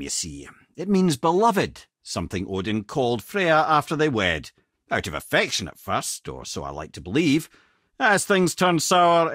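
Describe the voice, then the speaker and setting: An actor playing a clever, wry old Scottish companion in a video game, chatty and full of tales and advice. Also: male voice, medium pitch, a bit bright, no echo, clean studio sound. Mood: solemn storytelling. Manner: wry, chatty, wordy storytelling. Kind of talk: video game character dialogue.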